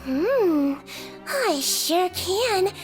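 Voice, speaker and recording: A small, kindly nurse creature in a video game. The voice is childlike and very high, with a gentle, matter-of-fact bedside manner and a sly streak, over background music. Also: female voice, big pitch swings, extremely slow, heavily acted. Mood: calm, clinical. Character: small sweet-voiced female nurse creature, calm, kindly and slyly mischievous.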